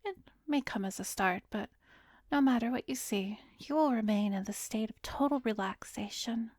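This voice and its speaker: A woman playing an arrogant, seductive vampiress who hypnotizes in an ASMR roleplay, breathy and commanding, often slipping into a whisper. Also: fairly high pitch, normal speed, darkish tone, dry recording, clean studio sound, close to an everyday voice. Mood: coaxing, commanding.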